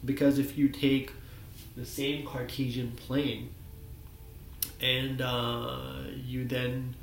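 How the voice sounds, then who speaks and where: A man in his forties with a croaky voice from a cold, talking at a whiteboard in a small office. He speaks haltingly, with many fillers and restarts, and the sound comes from a desk webcam. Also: medium pitch, little pitch movement, echoey room, slight background noise. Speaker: self-taught presenter. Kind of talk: rambling solo talk at a whiteboard.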